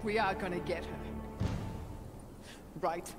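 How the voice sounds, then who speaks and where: A woman's voice: on the low side, fairly even intonation, slow pace, loud music behind the voice. An actress playing a tough, vengeful young woman in a video game, blunt and fiercely protective. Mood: grim resolve. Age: young adult. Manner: blunt, hard-edged. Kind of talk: video game cutscene acting.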